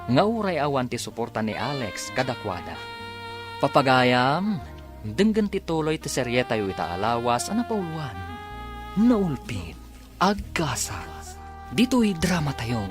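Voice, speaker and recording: A Filipino man narrates as a radio drama announcer. His mid-pitched voice rises and falls dramatically through the scripted delivery, with music clearly playing underneath. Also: sing-song, a little slow.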